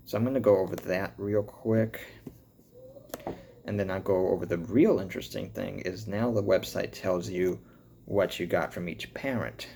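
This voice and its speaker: A man with an American accent talking casually as a vlogger, thinking aloud in halting commentary as he reads through results. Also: fairly low pitch, gravelly, darkish tone, slight background noise. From born in Cuba, grew up in the US. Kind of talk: casual update talk, reading results aloud.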